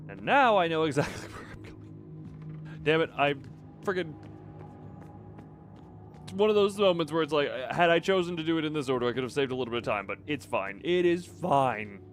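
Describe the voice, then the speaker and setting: Guy streamer talking thru a game to himself, highish voice, really up and down, music playing behind. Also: lively intonation, moderate pace, long pauses. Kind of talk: live gameplay commentary, thinking out loud.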